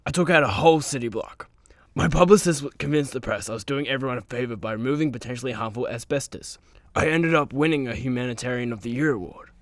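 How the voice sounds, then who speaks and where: An actor playing a brash, crude superhero, mocking and blunt, in a comedy radio play. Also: male voice, mid-range voice, fast talker, dry recording, natural voice. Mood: boastful, casual.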